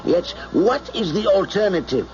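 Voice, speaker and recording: A formal, preachy instructor in an old radio drama. His fairly high voice rises and falls in lecturing cadences, on a muffled recording. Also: lively intonation, measured pace, darkish tone, faint music behind. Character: formal instructor preaching pacifist doctrine to a class.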